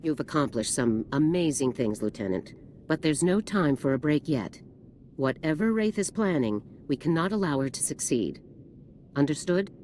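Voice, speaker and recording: An actor voicing a stern commanding officer who gives brisk, authoritative military orders in a video game. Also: medium pitch, animated, darkish tone, a little hiss or hum, lightly acted.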